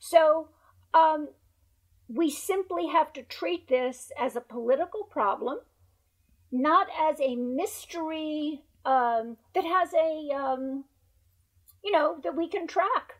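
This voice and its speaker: A hoarse woman who has lost her voice, speaking emphatically as a researcher guest on a video call interview, through earbuds. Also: sits high, takes it slowly. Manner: emphatic, repeats points for stress. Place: bright room at home by a window. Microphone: wired earbuds on a video call.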